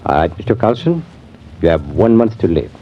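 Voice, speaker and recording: An actor playing a grave, professional doctor in a measured, formal 1950s American radio drama style. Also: male voice, low voice, sing-song, exaggerated voice. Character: grave, professional, plain-spoken male doctor. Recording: dull, hissy vintage radio recording.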